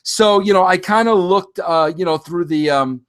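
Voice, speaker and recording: A fifty-something man hosts his own show, rambling through a casual monologue aimed right at his listeners. His voice sits high for a man, and he often stumbles, repeats himself and restarts before pushing on with lively energy. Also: normal speed. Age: 50s. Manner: rambling, animated, frequent restarts and fillers; addresses listeners directly. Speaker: podcast host.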